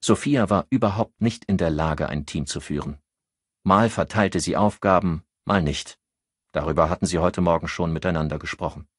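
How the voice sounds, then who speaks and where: A man reading scripted crime fiction as an audiobook narrator, in an expressive storytelling manner. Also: low voice, normal speed, breathy edge, no echo, clean studio sound.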